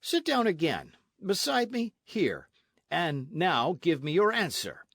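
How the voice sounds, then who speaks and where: A man's voice: a little high, wildly swooping pitch, slow pace, a bit bright, husky, no echo, studio quality, pitched above the performer's own voice, heavily acted. A man voicing an arrogant Spanish colonial army captain in a storybook, pompous, sneering and insistent. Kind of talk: storybook narration with character voices.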